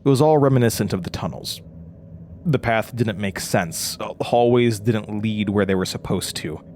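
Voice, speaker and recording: A man playing a hesitant, haunted investigator in an intimate horror audio drama, with a low-key, earnest delivery. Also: darkish tone, a little hiss or hum, close to an everyday voice. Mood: grim, haunted. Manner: halting, stammering in dialogue, low-key and earnest.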